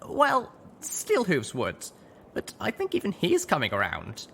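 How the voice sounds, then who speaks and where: A man voicing an earnest, apologetic young pony heroine with a halting manner in a story reading. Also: fairly high pitch, wildly swooping pitch, creaky, sharp tone, slight background noise, voice pushed up, full character voice.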